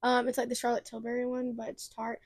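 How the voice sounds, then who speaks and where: A laid-back young woman who talks in easy slang. Her voice sits high in her range. The recording is dry and close. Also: completely flat delivery, fast, no echo. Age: young adult. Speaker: co-host. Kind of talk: casual chat.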